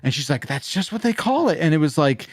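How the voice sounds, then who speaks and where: A man's voice: a little high, big pitch swings, fast, no room sound, studio quality. A man co-hosting a podcast in casual, conversational chat. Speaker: podcast co-host.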